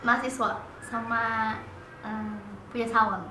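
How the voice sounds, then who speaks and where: Young girl, higher voice, off-mic, roomy noisy space. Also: flat delivery, unhurried, pushed and bright. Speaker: interviewee, student. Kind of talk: casual interview answers. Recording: distant echoey room, noisy background.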